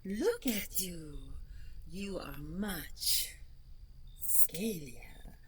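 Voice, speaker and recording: A woman playing the vain, vengeful ghost of a deposed monster queen in an audio roleplay, taunting theatrically in a breathy, creaky whisper. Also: lowish voice, animated. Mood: mocking, menacing. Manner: taunting, theatrical, drawn-out delivery. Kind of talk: audio roleplay monologue acting. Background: ambient sound effects, wind.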